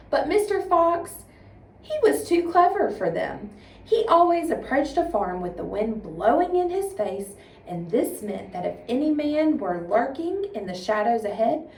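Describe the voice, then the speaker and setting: A woman presenting in an instructive, expressive way, as for a tutorial or a storybook reading. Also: a little high, gravelly. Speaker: 4-H agent, presenter. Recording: roomy echo, distant microphone.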